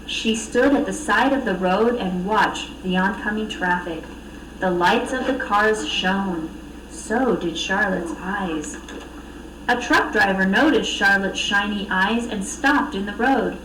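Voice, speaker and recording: A woman reading a children's storybook aloud with expressive narration. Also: mid-range voice, slow pace, recorded from a distance. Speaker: storybook reader. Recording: echoey room, off mic, faint noise.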